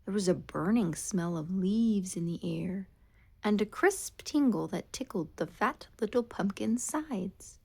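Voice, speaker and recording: Female storybook reader for children: expressive and dramatic, slow delivery with very animated intonation. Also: mid-range voice, gravelly, slightly rough. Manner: dramatic, expressive read-aloud with drawn-out words. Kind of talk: scripted children's storytime narration.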